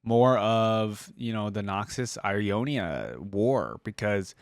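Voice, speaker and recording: A man's voice: on the low side, lively intonation, slow pace, creaky, dry recording, studio quality. A man giving casual reaction commentary on game voice lines as a host, musing aloud in loose, informal fragments.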